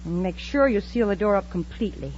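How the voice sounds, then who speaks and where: A woman's voice: mid-range voice, expressive, fast, a little mellow, close to an everyday voice. An actress playing a cool, commanding female villain with a polite front, in a 1950s radio serial style. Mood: coldly commanding. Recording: muffled, noisy old-time radio recording.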